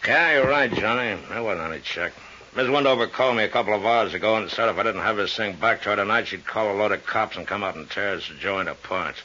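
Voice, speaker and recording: Very deep, gruff American racketeer. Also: male voice, fast talker, pushed and bright, slight background noise. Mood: shifty, confiding. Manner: blunt, slangy tough-guy talk. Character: gruff, shifty, world-weary male gambling-joint boss, ex-bootlegger. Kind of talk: 1950s radio drama acting. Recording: dull old radio recording.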